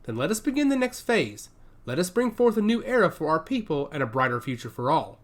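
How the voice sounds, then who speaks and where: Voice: medium pitch, very animated, brisk. A narrator voicing a revered, commanding old woman who leads a secret order, speaking steadily and with solemn deliberation. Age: older.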